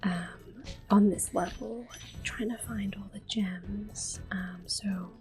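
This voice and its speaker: Slow, whispering woman doing ASMR commentary. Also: mid-range voice, fairly even intonation, soft background music. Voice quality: hushed, breathy whisper. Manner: gentle, slow, unhurried. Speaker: gameplay video host. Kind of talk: soft-spoken ASMR game commentary.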